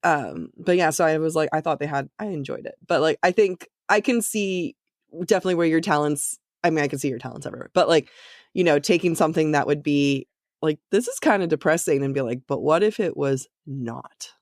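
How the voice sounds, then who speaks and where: A woman's voice: deep voice, brisk, darkish tone, no echo, clean studio sound. Giggly, rambling woman podcast host, closely recorded. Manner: giggly, rambling, self-interrupting. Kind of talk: casual chat.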